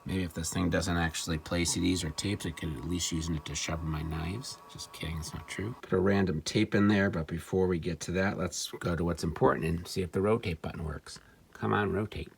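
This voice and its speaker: A man giving a casual, off-the-cuff gadget demo, wry and excitable, talking to himself as he tinkers. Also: low voice, a little fast, creaky. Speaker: vintage electronics seller demonstrating a device. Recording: music playing behind the voice. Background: music from the player being tested.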